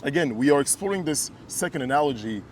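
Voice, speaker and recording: A man's voice: on the high side, animated, fast talker, slight background noise. A man from Kinshasa co-hosting a casual conversation, earnest and emphatic, often repeating words as he builds a point. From Kinshasa, Congo. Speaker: co-host.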